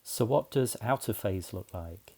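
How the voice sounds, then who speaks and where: A man's voice: lowish voice, lively intonation, measured pace, dark tone, husky. A man narrating a scripted explainer in a methodical, plain-spoken way, as a tutorial presenter.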